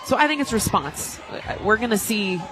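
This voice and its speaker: An American woman giving live, conversational softball analysis as a radio color commentator. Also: medium pitch, expressive, moderate pace, rough recording. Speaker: color commentator, former player. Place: stadium radio booth.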